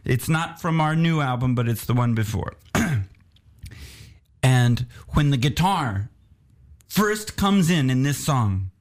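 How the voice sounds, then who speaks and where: A man, a guest musician on a cold rooftop, chatting playfully with jokes and halting restarts. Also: medium pitch, expressive. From United States. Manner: playful, joking, often laughing, halting restarts. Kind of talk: casual interview chat. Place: rooftop terrace outdoors, cold day.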